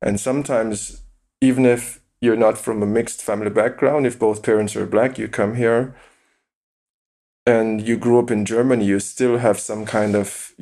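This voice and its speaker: A man as a podcast guest, calmly explaining his view with examples and rhetorical questions. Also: fairly low pitch, gravelly. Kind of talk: interview conversation.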